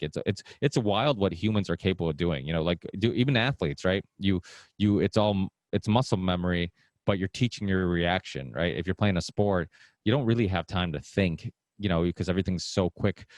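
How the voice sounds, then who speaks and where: Deep-voiced man, native Portuguese speaker. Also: darkish tone, dry recording. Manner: meandering, restarts sentences often. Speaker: podcast guest. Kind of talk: casual conversation.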